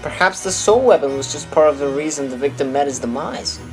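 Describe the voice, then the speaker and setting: Man playing a measured, logical courtroom witness. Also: a little high, expressive, faint music behind, low-quality recording. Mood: reasoning. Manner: measured, reasoning, formal. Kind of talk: audio drama acting.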